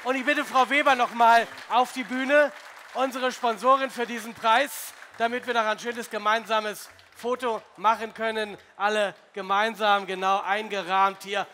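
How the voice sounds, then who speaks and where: A male host moderating an awards gala, speaking brightly and announcing winners on stage in a large hall. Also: high-pitched, steady tone, moderate pace, a faint crowd, slight background noise. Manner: upbeat, announcing. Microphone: handheld microphone.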